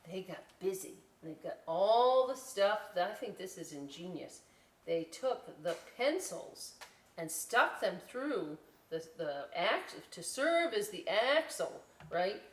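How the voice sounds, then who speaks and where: A woman hosting a library story time, reading a picture book aloud with chatty, folksy asides to children. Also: on the low side. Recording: echoey room, distant microphone.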